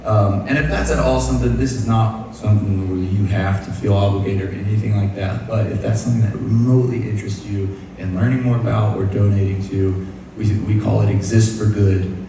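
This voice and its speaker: Low-voiced frontman on a concert stage. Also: fairly even intonation, background noise. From Nashville, USA. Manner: rambling, self-deprecating, halting with restarts. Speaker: band frontman. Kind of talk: onstage storytelling between songs. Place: large concert club stage. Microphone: stage vocal mic through PA. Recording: echoey live concert hall from far off.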